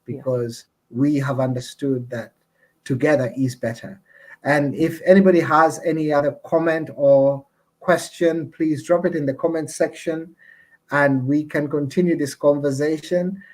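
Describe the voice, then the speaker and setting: A man's voice: fairly high pitch, steady tone, darkish tone. Earnest man hosting an online talk. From Uganda. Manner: earnest, appreciative, measured. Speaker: host and community representative. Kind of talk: online panel discussion. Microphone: video call.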